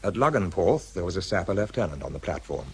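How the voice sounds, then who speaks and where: An actor playing a wry, anxious wartime fuse expert, narrating and speaking in an understated, hesitant way in a radio drama. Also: male voice, low-pitched, big pitch swings, fast talker, a little mellow, slight background noise. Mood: reflective, subdued. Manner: understated, hesitant when challenged.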